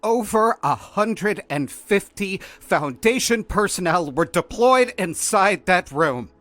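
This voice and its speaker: A man playing a shaken, exasperated researcher, haltingly dictating an incident report in an audio drama. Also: high voice, expressive, fairly bright tone, exaggerated voice. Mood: grave, agitated. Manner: halting, flustered, repeating words. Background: faint music.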